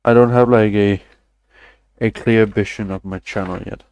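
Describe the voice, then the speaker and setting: A man's voice: lowish voice, fairly even intonation, moderate pace, darkish tone, smooth. Rambling young streamer guy. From Denmark. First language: Danish. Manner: rambling, swears casually, self-deprecating. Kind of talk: casual stream chat.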